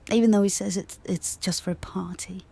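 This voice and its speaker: Casting: keen costume-maker, soft mellow tone with plenty of vocal fry, chatty, informal. Audio drama. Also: female voice, medium pitch, fairly even intonation, fairly quick. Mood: hesitant, helpful. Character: friendly, geeky costume seamstress, keen on period detail. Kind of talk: naturalistic audio drama acting.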